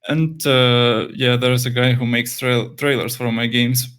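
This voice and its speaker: A man's voice: mid-range voice, flat delivery, a little slow, a bit bright, smooth. A young man whose first language is Russian, a game developer answering interview questions hesitantly, with many fillers and restarts.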